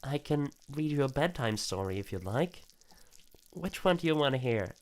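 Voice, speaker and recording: A man voicing a doting, eerily sweet mother in a visual novel, gently coaxing her young son. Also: medium pitch, expressive, moderate pace, pitched above the performer's own voice, close to an everyday voice. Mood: tender, coaxing. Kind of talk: scripted visual-novel character reading.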